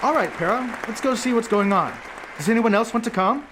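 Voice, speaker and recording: A man's voice: extremely high pitch, lively intonation, fairly quick. A man telling a first-person fantasy story in character as a tabletop game player. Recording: crowd ambience and faint noise.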